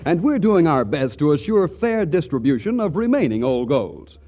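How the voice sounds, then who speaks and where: A male commercial announcer from 1940s radio. His voice is fairly high and mellow with lively intonation, delivering a smooth, chatty sales pitch. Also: normal speed. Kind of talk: 1940s radio advertising read. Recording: muffled vintage 1940s radio recording.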